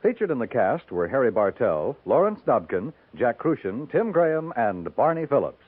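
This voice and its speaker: A man's voice: mid-range voice, big pitch swings, normal speed, husky, no room sound. A man announcing in the scripted style of 1950s radio. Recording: tinny 1950s radio with music. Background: music.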